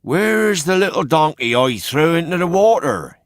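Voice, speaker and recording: A man's voice: a little high, big pitch swings, measured pace, no echo, studio quality, voice pushed up. A man voicing a grumpy, grasping tradesman in a children's storybook, muttering and indignant. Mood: baffled. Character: grumpy, grasping man, a mercenary donkey buyer.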